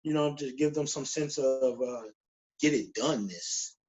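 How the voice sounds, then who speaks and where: A man's voice: mid-range voice, unhurried, a little mellow. A forty-year-old man chatting casually as an interview guest in slangy speech, often checking in with "you know what I'm saying", on a dull lo-fi recording. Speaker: guest drummer. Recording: dull lo-fi call audio.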